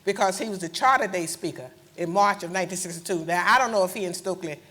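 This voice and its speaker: Woman panelist with a lower voice, reminiscing, stumbling starts. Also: fast, a bit bright. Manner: recalling memories, repeated starts. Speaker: panelist, civil rights activist. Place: lecture hall stage.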